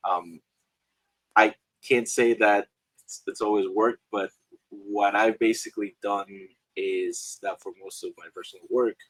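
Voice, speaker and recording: Bright-voiced man, halting and hesitant. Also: fairly low pitch, steady tone, slightly rough, dry recording, poor audio. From Guatemala. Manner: hesitant, many fillers and restarts. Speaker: author and illustrator panelist. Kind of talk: casual panel discussion.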